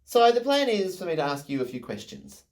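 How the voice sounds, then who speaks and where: A man's voice: high voice, sing-song, a little fast, darkish tone. An enthusiastic man interviewing a guest over a video call, full of effusive praise.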